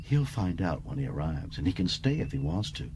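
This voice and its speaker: A man's voice: low-pitched, big pitch swings, brisk, background noise. A man voicing a sly, affectionate young alien woman in a science-fiction audiobook. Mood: soft, sly.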